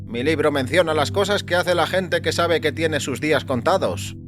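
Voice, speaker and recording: Man voicing a detached doctor, raised pitch, music behind. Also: normal speed, close to an everyday voice. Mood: brisk, detached. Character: calm, self-assured male doctor, clinically detached with patients. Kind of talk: audio fiction acting.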